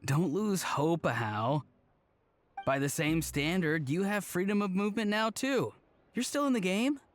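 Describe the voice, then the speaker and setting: Playful, easygoing young man for a fantasy game; lightly high voice, friendly and casual. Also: unhurried, soft background music. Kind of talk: video game character acting.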